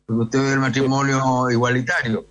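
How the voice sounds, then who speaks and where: A man's voice: mid-range voice, level tone, brisk, fairly bright tone, highs cut off. An older man with a Chilean accent, a priest answering conversationally in an interview and often seeking agreement with tag questions.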